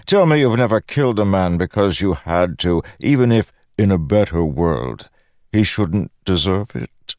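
Guy doing an evil old god for an audiobook, patronizing and fatherly, slow, super swoopy delivery. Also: deep voice, lively intonation, a little mellow, no room sound, studio quality, pitched above the performer's own voice. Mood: wounded mockery. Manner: patronizing, unhurried, theatrical. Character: ancient malevolent god, smiling, paternal and smooth-talking. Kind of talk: audiobook fantasy dialogue.

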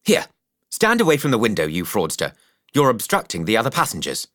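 A woman's voice: extremely low pitch, wildly swooping pitch, fairly quick, a bit bright, no echo, clean studio sound, voice pushed down, full character voice. A woman voicing a scornful male railway ticket clerk in a storybook, sneering and dismissive.

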